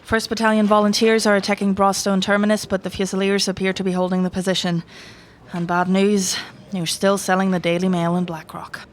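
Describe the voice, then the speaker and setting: A woman's voice: medium pitch, flat delivery, brisk, close to an everyday voice. A woman in an audio play acting a young rebel messenger who reports urgently to a commandant. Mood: dutiful, urgent.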